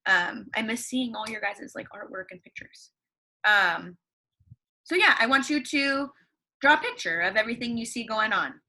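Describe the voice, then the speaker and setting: Brief: young woman teacher, bright ringing voice, upbeat, quizzes her class and answers herself, computer mic at home. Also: fairly high pitch, very animated, moderate pace, rough recording. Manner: upbeat, asks questions and answers them herself. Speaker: elementary school teacher. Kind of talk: read-along lesson for young students at home. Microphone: computer microphone.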